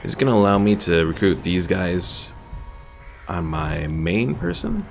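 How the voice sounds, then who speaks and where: A man with a low, heavily creaky voice gives casual commentary on a game he is streaming. He muses aloud in an unscripted way, with faint music behind him. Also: sing-song, unhurried. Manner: musing, thinking aloud.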